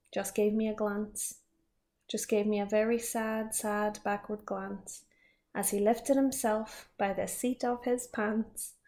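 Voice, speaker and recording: A woman teacher reading a picture book aloud to children, lively and expressive. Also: medium pitch. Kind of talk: storybook read-aloud.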